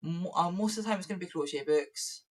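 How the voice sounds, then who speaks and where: A woman with a croaky voice, talking through her plans for her craft business in a rambling, self-correcting way. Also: on the low side, quick pace, darkish tone. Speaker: craft business owner and YouTuber. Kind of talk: casual vlog planning.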